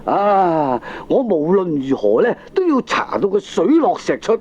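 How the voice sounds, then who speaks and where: An excitable, stubborn Hong Kong Cantonese father talks emphatically in an old comic radio play. His high voice swings up and down, on a dull lo-fi recording. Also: unhurried, slightly rough, heavily acted. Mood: insistent, earnest. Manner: emphatic, chatty, exaggerated delivery. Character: excitable middle-aged father, stubbornly convinced, earnest and talkative. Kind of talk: 1980s Cantonese comic radio drama acting.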